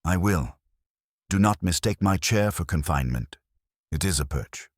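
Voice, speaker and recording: A stern, imperious detective who rules a room from his chair, as a male narrator puts him on. The voice is very deep, yet it rises and falls with lively, deliberate emphasis. Every word lands cold and precise in a close, dry sound. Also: no echo.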